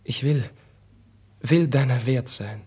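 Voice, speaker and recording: An actor playing a sensitive, impassioned young prince in a husky, breathy voice, with theatrical 1950s radio drama delivery. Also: male voice, medium pitch, slow pace. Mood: fervent, anxious. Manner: theatrical, swinging between hushed and fervent. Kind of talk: classical German radio drama acting, 1950s.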